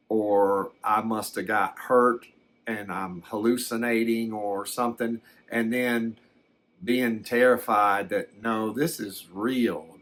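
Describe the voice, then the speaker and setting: A man playing a shaken, plain-spoken rural abductee, telling his story in a halting, rambling, folksy way as ASMR. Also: medium pitch, a bit bright.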